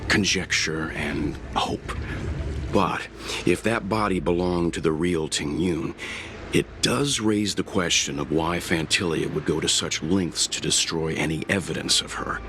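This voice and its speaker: An actor playing a thoughtful, sombre mentor in a video game, speaking in a measured, analytical way. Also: male voice, deep voice, noisy background. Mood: grave. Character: grave, thoughtful older male traveller and mentor. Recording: music behind the voice.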